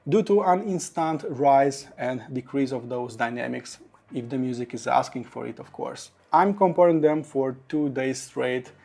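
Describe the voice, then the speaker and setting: A man's voice: mid-range voice, vocal fry, darkish tone. A man reviewing audio gear to camera, speaking enthusiastically and piling up descriptive words. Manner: enthusiastic, piles up adjectives. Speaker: product reviewer.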